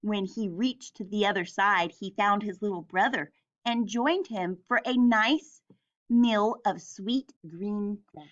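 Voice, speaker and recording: A woman reading a children's story aloud as narrator, deliberate and expressive. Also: mid-range voice, lo-fi sound.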